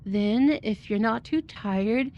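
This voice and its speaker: Lady doing a sweet clingy hybrid girl for asmr roleplay, high voice, coaxing, a bit sly. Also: slow pace, lo-fi sound, a little hiss or hum. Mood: sweet, caring. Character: sweet, devoted, sly yandere animal hybrid roommate, female. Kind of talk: ASMR audio roleplay acting.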